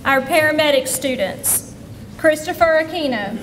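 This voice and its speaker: A woman reading out graduates' names one by one at a college graduation ceremony, pausing between each. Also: high-pitched, expressive, fairly bright tone. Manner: reads names one by one with pauses. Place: college auditorium. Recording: distant auditorium sound with long reverb and noise.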